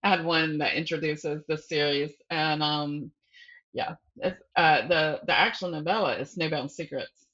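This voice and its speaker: A woman's voice: low voice, steady tone, a little slow, fairly bright tone. A woman speaking as a guest author in a casual interview, conversational and thinking aloud, with self-deprecating laughs. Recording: slightly dull, muffled audio.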